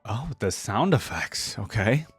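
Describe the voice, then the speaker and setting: A man hosting a reaction video, giving informal, off-the-cuff commentary in a halting, reflective way. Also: lowish voice, very animated, husky, soft background music.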